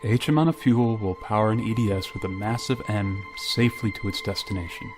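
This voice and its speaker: An actor playing a weary, stoic spaceship pilot who narrates a science-fiction radio drama in a terse, grave, restrained manner. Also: male voice, lowish voice, brisk, over music, natural voice. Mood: somber narration.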